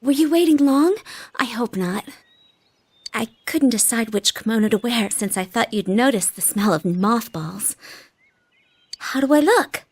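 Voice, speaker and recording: An actress playing a shy, polite young woman in anime game dialogue, soft-spoken and demure. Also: a little high, animated, a little slow, slightly rough. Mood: polite, bashful. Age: young adult.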